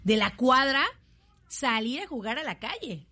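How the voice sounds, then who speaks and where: Woman, thirties, Mexican accent, high voice, chatty, colloquial, radio co-host banter. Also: lively intonation, a little slow, pushed and bright. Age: 30s.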